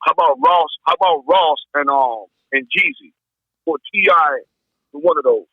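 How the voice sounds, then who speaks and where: A man's voice: fairly high pitch, lively intonation, normal speed, vocal fry, husky, dry recording. An American man in a casual, slangy podcast chat about music. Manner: informal, slangy. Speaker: podcast panelist discussing music. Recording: muffled band-limited sound.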